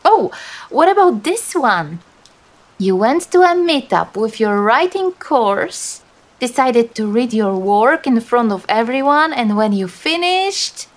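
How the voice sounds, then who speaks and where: A woman acts out a female demon for an ASMR roleplay, teasing yet sympathetic. Her voice sits fairly high and moves very slowly, with soft, coaxing delivery. Dramatic hesitations keep it intimate. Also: vocal fry, exaggerated voice. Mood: playful, prying.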